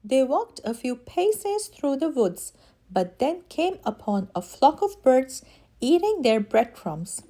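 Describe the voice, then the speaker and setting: Animated, high-voiced woman reading to children. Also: takes it slowly. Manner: animated, dramatic emphasis. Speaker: children's story reader and channel host. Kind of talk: expressive read-aloud storytelling for kids.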